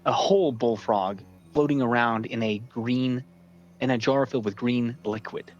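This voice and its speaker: A man running a tabletop role-playing game as dungeon master, describing scenes deliberately with pauses between phrases. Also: medium pitch, lo-fi sound, soft background music.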